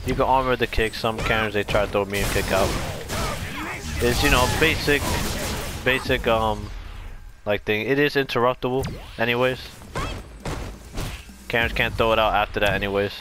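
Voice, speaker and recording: A man's voice: medium pitch, steady tone, moderate pace, pauses often, noisy background. A man giving a casual, unscripted gameplay explanation, rambling and correcting himself as he goes. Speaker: video game tutorial creator.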